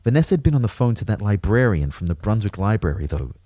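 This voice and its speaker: Husky, expressive Australian narrator. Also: male voice, on the low side, fast. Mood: puzzled, reflective. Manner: wry, expressive. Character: bemused young male first-person narrator, wry, puzzled. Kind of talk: scripted first-person drama narration.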